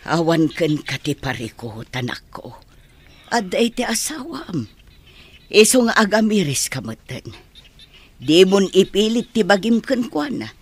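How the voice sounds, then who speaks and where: An actor in a radio drama playing a concerned older parent, husky, consoling and advising earnestly. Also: male voice, high voice, sing-song, a little slow, full character voice. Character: concerned parent consoling an abandoned grown son.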